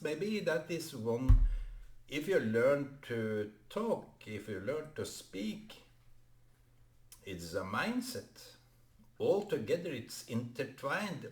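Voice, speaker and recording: A man whose first language is Norwegian, talking to camera in a halting vlog monologue full of fillers and restarts. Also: medium pitch, roomy sound. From Norway. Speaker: vlogger. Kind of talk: unscripted solo vlog monologue.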